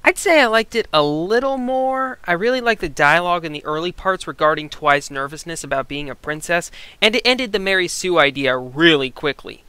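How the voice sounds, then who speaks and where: A man with a fairly high, forceful voice delivers conversational review commentary. He is animated and emphatic, and his voice is crisp, recorded dry and close. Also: fairly quick, hardly any pauses, no echo. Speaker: co-host reviewer.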